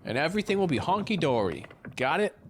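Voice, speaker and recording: A man's voice: on the high side, big pitch swings, fast talker, a little hiss or hum. A man playing a cocky, laid-back shipmate in an audio drama, casual and flippant. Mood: cajoling.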